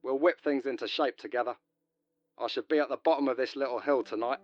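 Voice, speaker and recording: A man playing a loud, imperious expedition leader barking orders in an audio drama. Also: medium pitch, fairly even intonation, quick pace, no room sound, natural voice. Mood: blustering. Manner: loud, brash, commanding. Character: blustering, imperious male expedition leader on radio. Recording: dull radio transmission over music.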